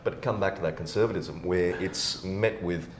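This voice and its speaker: A man's voice: sits low, normal speed, a little hiss or hum. A middle-aged man hosting a podcast in casual conversation, recorded in a roomy space. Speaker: podcast host.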